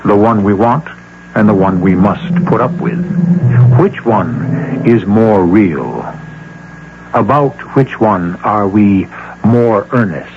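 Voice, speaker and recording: A man hosting a radio mystery, narrating theatrically and deliberately, posing philosophical rhetorical questions. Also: fairly low pitch, animated, background noise. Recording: dull old radio broadcast over music. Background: music.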